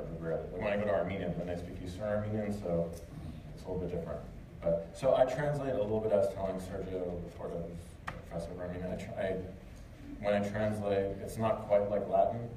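A man's voice: sits low, warm tone. A man from the United States answering audience questions after a lecture, loose and chatty, with lots of fillers and restarts. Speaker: lecturer and translator. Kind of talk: casual Q&A after a talk. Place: lecture hall. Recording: echoey hall, distant mic, noisy.

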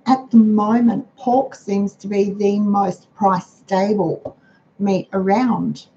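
An older Australian woman talks in a homely, chatty way, her voice hoarse and croaky. The tone is mellow and dark rather than bright. It comes through a dull, lo-fi webcam with a faint hiss underneath. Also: fairly even intonation, slow. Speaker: host of a frugal living livestream. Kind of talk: casual livestream talk. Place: home dining room. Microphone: webcam on a computer. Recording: dull low-fi webcam sound with faint noise.

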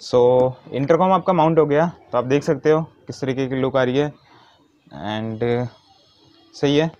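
A man's voice: medium pitch, a little slow, a little mellow, dry recording. Man, casual tutorial, dull lo-fi sound. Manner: informal, explains step by step, mixes in English words. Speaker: motovlogger giving a how-to demonstration.

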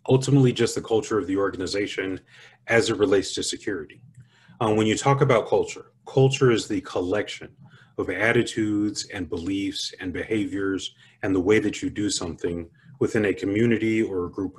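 An Italian-born man who lives in the United States, a consultant giving an explanatory webinar talk in a measured way, building points from examples and lists. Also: fairly low pitch, darkish tone, a little hiss or hum. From born in Italy, lives in the United States. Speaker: cybersecurity consultant presenting.

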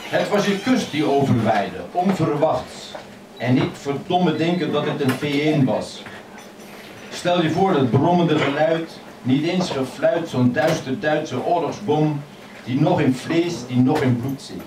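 A man reading his poems aloud in a measured, expressive way at a microphone in a crowded old café. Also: fairly high pitch, far from the mic, background noise. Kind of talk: poetry reading. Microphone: stand mic, filmed from across the room. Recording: echoey café filmed from afar, loud chatter.

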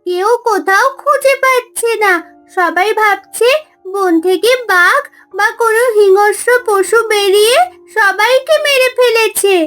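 A woman's voice: very high-pitched, measured pace, fairly bright tone, faint music behind. A woman playing a sweet, anxious village girl in a cartoon fairy tale, with a girlish, plaintive manner. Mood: worried.

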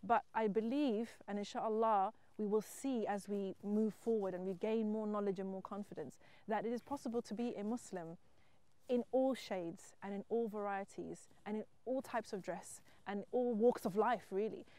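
A woman's voice: mid-range voice, normal speed, dark tone, no echo. Earnest woman from Zimbabwe, reflective. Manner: earnest, reflective, emphatic at times. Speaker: writer and entrepreneur. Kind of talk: personal interview monologue. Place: wheat field outdoors.

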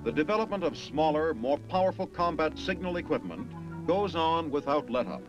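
American man, higher-pitched voice, 1950s military film narration, formal and emphatic, dull vintage soundtrack. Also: lively intonation, music in the background. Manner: formal, emphatic, measured phrasing.

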